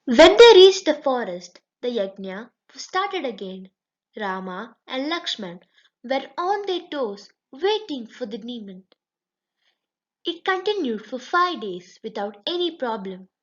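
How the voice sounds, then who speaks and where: A woman reading a scripted children's story aloud as a storyteller, with expressive delivery. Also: high-pitched. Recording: dull, lo-fi recording.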